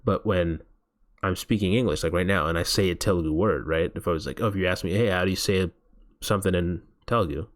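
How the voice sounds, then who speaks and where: A man's voice: low voice, fast. A man hosting a movie watch party stream, chatting casually and reading viewer messages aloud. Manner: rambling, reads viewer chat aloud.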